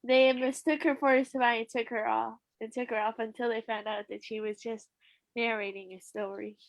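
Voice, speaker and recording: A woman's voice: fairly high pitch, level tone, moderate pace, gravelly, no echo. A woman actor chatting casually, eager and blunt in how she talks. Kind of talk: casual chat.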